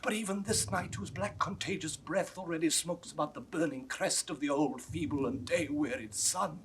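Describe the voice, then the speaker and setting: An actor playing a mortally wounded French count, remorseful and earnest, declaiming in breathy classical Shakespearean style. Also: male voice, fairly high pitch, normal speed, a bit bright, lightly acted. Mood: dying, solemn confession. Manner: declamatory, breathy dying delivery. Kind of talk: 1960s classical Shakespeare audio drama acting. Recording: noisy vintage 1960s studio recording.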